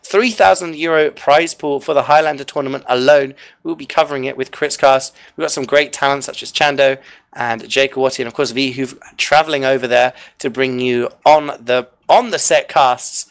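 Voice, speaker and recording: A male esports caster talks the viewers through a match and the upcoming events in a chatty, upbeat way. He fills gaps with little fillers. His voice sits at a middle pitch and has gone a bit hoarse. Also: steady tone, normal speed. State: losing his voice. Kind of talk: live esports commentary and sign-off.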